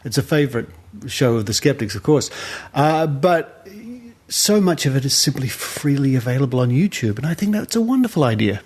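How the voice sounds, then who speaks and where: A man's voice: mid-range voice, very animated, fairly quick, a little mellow. An Australian man hosting a podcast interview, friendly and hesitant with frequent uhs. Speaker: podcast host. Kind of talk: casual interview chat.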